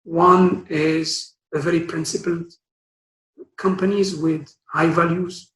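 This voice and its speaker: Man from Morocco in his sixties, hesitant, slow, slightly high voice, echoey room. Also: flat delivery, a bit bright. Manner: hesitant, many fillers and restarts. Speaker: guest speaker. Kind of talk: career talk.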